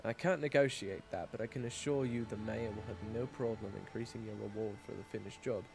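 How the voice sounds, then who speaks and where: A man's voice: fairly low pitch, fairly even intonation, brisk, gravelly, over music. A man playing a solemn, skeptical small-town guard in a fantasy audio drama, formal and wary. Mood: wary, curt. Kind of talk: fantasy audio roleplay acting.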